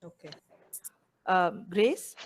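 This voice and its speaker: A woman hosting and moderating a relaxed panel discussion, pausing often with fillers. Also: on the low side, noisy background. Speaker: panel host.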